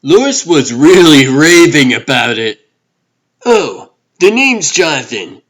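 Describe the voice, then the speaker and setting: A man's voice: a little high, sing-song, slow, fairly bright tone, full character voice. A man playing a cheerful, breezy, chatty boyfriend in a comic book dub. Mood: breezy, upbeat.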